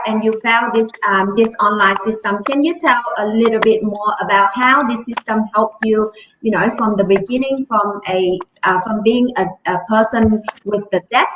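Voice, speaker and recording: A woman's voice: medium pitch, fairly even intonation, unhurried. A woman from Vietnam with a Vietnamese accent, hosting an interview and searching for her words as she asks questions. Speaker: interview host. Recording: echoey room with noise.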